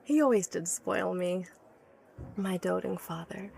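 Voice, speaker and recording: A woman's voice: on the low side, unhurried, creaky, a little mellow, a little hiss or hum. A woman playing an obsessive, learned inventor who recounts her life's work earnestly and articulately in an audio drama. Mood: nostalgic, enraptured. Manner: articulate, earnest, stammers when awed by her subject.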